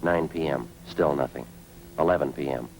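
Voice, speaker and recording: A man's voice: extremely low pitch, normal speed, darkish tone, slight background noise, poor audio. An American man playing a terse, businesslike police sergeant in a clipped, matter-of-fact old radio crime drama. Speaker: lead actor and narrator. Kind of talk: 1940s-50s radio drama, clipped procedural narration. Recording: old radio recording with music behind.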